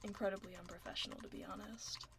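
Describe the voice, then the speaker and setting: A woman playing a laid-back tomboy werewolf office admin in a soft-spoken, partly whispered ASMR roleplay, casual and understated, close to the mic. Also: medium pitch, fairly even intonation, fast talker, close to an everyday voice. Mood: quietly concerned. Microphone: close binaural microphone. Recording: faint noise, keyboard typing. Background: computer keyboard typing.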